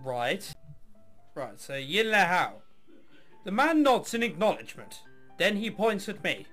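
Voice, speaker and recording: A man's voice: high-pitched, big pitch swings, a little slow, a bit bright, faint music behind. A man reading a visual novel aloud, mixing dramatic narration with casual, offhand commentary. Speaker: video game let's player.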